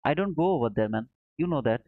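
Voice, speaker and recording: A man's voice: fairly high pitch, expressive, quick pace, gravelly, no echo. A man from Bangladesh, not an English speaker, hosting a trading livestream in an earnest, repetitive way and calling his viewers brother. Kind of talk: casual live-stream commentary. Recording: dull muffled dry sound.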